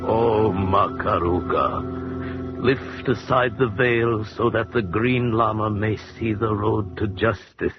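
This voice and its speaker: An actor playing a solemn mystic lama intoning an incantation in a 1940s radio drama. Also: male voice, lowish voice, steady tone. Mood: solemn, trancelike. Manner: slow, incantatory. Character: solemn male mystic lama chanting invocation. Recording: muffled old radio, loud music behind.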